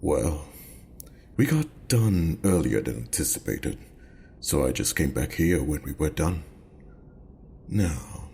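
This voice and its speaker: A man voicing a menacing, mocking gangster in an ASMR audio roleplay, with a slow, gravelly drawl that taunts. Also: very deep voice, a little mellow, slight background noise, full character voice. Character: menacing, mocking gangster stepbrother, leering at a captive.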